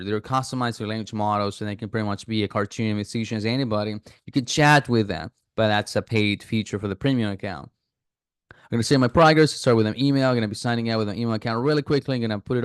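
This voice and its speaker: Smooth-voiced man walking through an app. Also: on the low side, quick pace, no room sound, clean studio sound. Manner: chatty, thinks aloud with fillers and restarts. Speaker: app reviewer. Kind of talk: casual screen-recording walkthrough.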